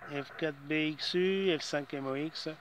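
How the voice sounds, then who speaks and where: A man's voice: a little high, unhurried, muffled recording. A man chatting casually as an amateur radio operator, in hesitant phrases that often trail off, with some background noise.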